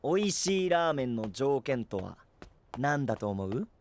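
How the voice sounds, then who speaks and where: A man's voice: medium pitch, sing-song, moderate pace, darkish tone, a little hiss or hum. A man playing an earnest, inquisitive young guardian in an anime-style voice drama, blunt and slightly stiff. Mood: earnest, curious.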